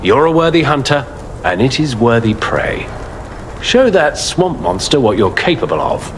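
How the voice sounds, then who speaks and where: An actor playing a vain, boastful monster hunter with pompous, theatrical formal diction in a video game. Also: male voice, medium pitch, big pitch swings, normal speed, a little hiss or hum, natural voice. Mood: boastful, grandiose.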